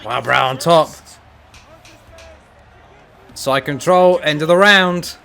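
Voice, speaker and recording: A young man casually commentating a fight video game, excitable and breaking into shouts at big moments. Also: a little high, slow pace, vocal fry.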